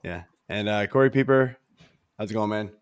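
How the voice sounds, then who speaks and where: A man's voice: on the low side, normal speed, slight background noise. A man hosting a casual fantasy baseball show, his voice a little worn from losing it, talking in an easygoing, rambling way with lots of fillers. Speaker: podcast host.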